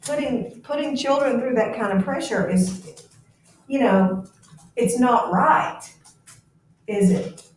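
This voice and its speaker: A woman's voice: on the high side, takes it slowly, long pauses, a little hiss or hum. A woman teacher reads aloud to a class and checks understanding with questions. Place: echoey indoor room. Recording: very echoey room, distant mic.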